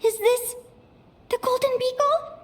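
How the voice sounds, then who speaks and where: A woman voicing a gentle, bewildered young woman lost in dreams in a video game, musing hesitantly to herself over music. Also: very high-pitched, steady tone, unhurried, slight background noise. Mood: shaken, frightened. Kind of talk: English video game voice acting.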